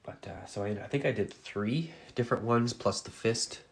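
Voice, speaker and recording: A low, gravelly male voice, stuffed up from a cold, chatting softly as he paints in his studio. He talks in a halting, easygoing way and fills the gaps with ums and uhs. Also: a little hiss or hum. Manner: soft-spoken, halting, many fillers. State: congested with a cold. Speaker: painting instructor. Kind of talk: relaxed live-stream chat while painting. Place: art studio, indoors.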